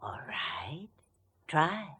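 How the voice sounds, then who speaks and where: An actor in 1940s radio style playing a fussy, hesitant, meek-mannered man of quiet menace, with a breathy, husky voice. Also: a little high, wildly swooping pitch, exaggerated voice. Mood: politely menacing. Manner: hesitant, halting, politely sinister.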